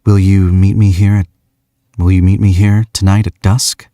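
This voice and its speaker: A man voicing a calm, alluring female demon who speaks softly and formally. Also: deep voice, no echo, clean studio sound. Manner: soft, measured, formal speech without contractions.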